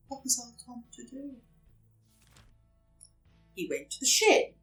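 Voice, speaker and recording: A woman's voice: sits high, steady tone, pushed and bright, recorded from a distance, soft background music. A woman reading a children's story aloud expressively, dramatically stressing and drawing out repeated words. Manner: dramatic, with emphatic repetition and drawn-out words.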